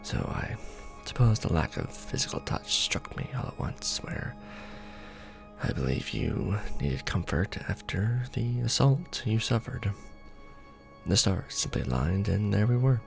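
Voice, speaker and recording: A courtly vampire lord acted by a man speaks in a low voice with a lively, shifting melody. His manner is formal and conflicted, set to music in a romantic fantasy roleplay. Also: full character voice. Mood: tender, awkward. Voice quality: low, creaky. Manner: formal, measured, hesitant when tender.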